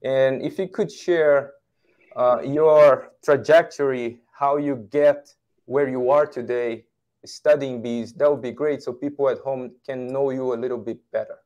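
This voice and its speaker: A man in his forties hosts a livestream from a home office, talking over a video call. His voice sits at an ordinary middle pitch, and he sounds friendly and welcoming, with small restarts as he speaks. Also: fairly even intonation, a little mellow, dull audio. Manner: friendly, welcoming, slightly halting. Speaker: host. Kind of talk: livestream interview.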